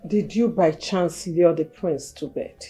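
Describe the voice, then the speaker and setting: An actress playing a firm, scheming, middle-aged mother in a film drama, measured and insistent. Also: lowish voice, dark tone, faint music behind, natural voice. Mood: probing, calm.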